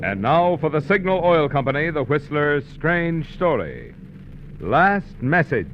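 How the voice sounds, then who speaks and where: A man announcing a 1950s radio program with a booming, sweeping delivery. His pitch sits a little high and swings wildly. The recording sounds dull and dated, with faint music behind him. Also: very animated, unhurried, very noisy.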